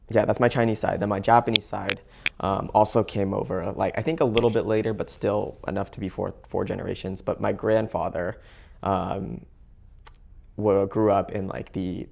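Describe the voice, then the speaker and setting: Low gravelly young man. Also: fairly even intonation, a little mellow, slight background noise. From Seattle area, United States. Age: young adult. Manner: halting, many fillers and restarts. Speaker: interview guest. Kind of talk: casual conversation.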